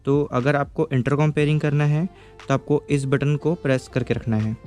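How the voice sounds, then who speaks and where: Mellow-voiced man demoing a gadget. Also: medium pitch, fairly even intonation, moderate pace, soft background music. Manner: explains patiently, checks in with the viewer. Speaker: tech product reviewer. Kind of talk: casual step-by-step product demo.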